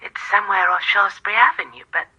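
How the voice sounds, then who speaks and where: A woman's voice: medium pitch, quick pace, pushed and bright, husky, dull audio. An actress playing a genteel woman heard through a telephone in a radio drama. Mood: agreeable. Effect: telephone filter. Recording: thin telephone sound, faint noise.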